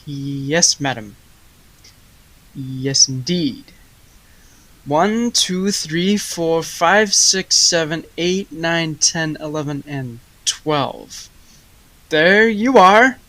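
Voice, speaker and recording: Young woman playing a sharp, bossy, stingy male baker. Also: sits low, lively intonation, drawn-out words, full character voice, poor audio. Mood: briskly polite. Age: teenager. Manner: emphatic, theatrical, scolding. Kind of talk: high school radio play acting.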